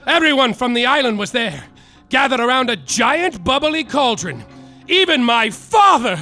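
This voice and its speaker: A hapless hardboiled private eye who narrates his own troubles in a wry, deadpan noir drawl. His voice sits very high and bright. Faint music plays behind him. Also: moderate pace, heavily acted. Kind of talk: live comedic radio-play narration. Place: live theater stage.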